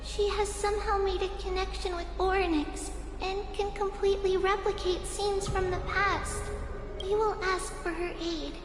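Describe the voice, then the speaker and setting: A woman voicing a gentle, earnest young girl in a fantasy game, speaking politely and formally as a sincere peacemaker. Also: very high-pitched, level tone, normal speed. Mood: hopeful, resolute. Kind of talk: scripted fantasy game voice acting. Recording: echoey hall reverb, music behind.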